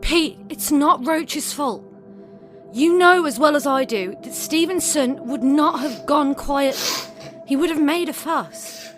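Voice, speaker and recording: A woman acts out a young, protective survivor in a zombie audio drama. Her high voice is earnest and emotional, taking its time, with music underneath. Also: takes it slowly, fairly bright tone. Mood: firm, consoling.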